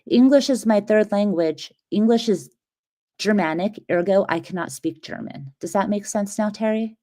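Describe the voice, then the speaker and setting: A woman's voice: medium pitch, moderate pace, warm tone. Woman with an Armenian mother tongue chatting. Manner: bubbly, greets viewers mid-chat. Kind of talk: casual chat.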